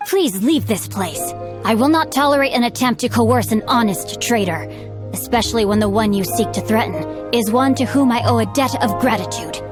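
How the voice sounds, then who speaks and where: A woman as high-voiced chivalrous young swordsman. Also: lively intonation, lightly acted. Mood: firm, righteous. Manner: formal, eloquent, measured. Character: young male noble swordsman, bookish, chivalrous, composed. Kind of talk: video game voice acting. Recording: music behind the voice.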